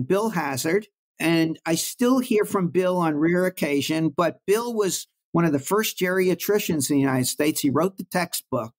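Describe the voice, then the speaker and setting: Older man. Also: a little high. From Brooklyn, New York. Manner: warm, anecdotal, reflective. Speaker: guest oncologist. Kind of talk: storytelling in an interview.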